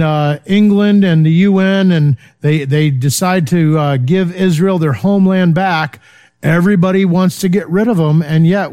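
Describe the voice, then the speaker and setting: High-voiced man teaching Bible study. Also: darkish tone. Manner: informal, many fillers, checks in with "okay?".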